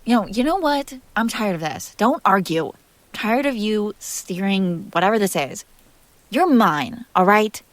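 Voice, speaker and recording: A woman playing a jealous, possessive and fiercely protective young woman in an intimate roleplay audio drama, speaking close to the mic in emphatic, halting bursts. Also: medium pitch, expressive, dry recording, close to an everyday voice. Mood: frustrated, upset. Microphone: close microphone, dry sound.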